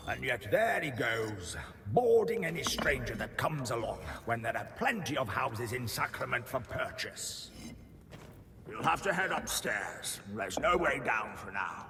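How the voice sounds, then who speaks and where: A grumbling, scornful repairman in a video game, muttering his sneering asides in a slow, lingering way. His pitch swings widely as he grouses. The sound is echoey and long-reverberant, with clear ambient noise behind him. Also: big pitch swings. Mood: gruff.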